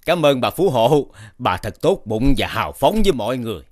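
A man playing a polite, deferential villager in an animated fairy tale. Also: mid-range voice, brisk, dry recording. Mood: flattering.

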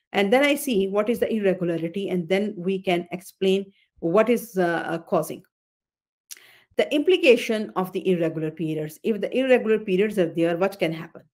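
A woman's voice: mid-range voice, lively intonation, fairly quick. A woman in her fifties, an obstetrician hosting a webinar on a video call, explaining slides, often repeating phrases and correcting herself with fillers. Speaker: OB-GYN consultant hosting a webinar. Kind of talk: webinar lecture over slides. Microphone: computer mic on a video call.

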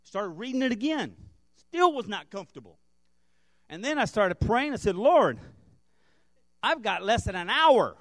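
A man's voice: high-pitched, no echo. A middle-aged man from Brazil, a guest pastor preaching in a church on a handheld microphone, telling stories and building into fervent prayer. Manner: animated, rising to fervent prayer. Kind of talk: sermon with storytelling. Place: church sanctuary stage.

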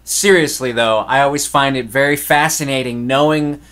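A man's voice: mid-range voice, moderate pace, fairly bright tone. A young man giving a casual, conversational tutorial in a laid-back way, recorded in a small home studio. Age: young adult. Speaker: musician hosting a recording tutorial. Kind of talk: casual instructional talk to camera.

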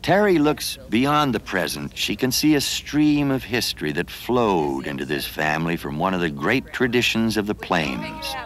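A man reading scripted television documentary narration in a measured, earnest storytelling manner. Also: deep voice, sing-song, fairly bright tone. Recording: music bed behind voice. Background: music.

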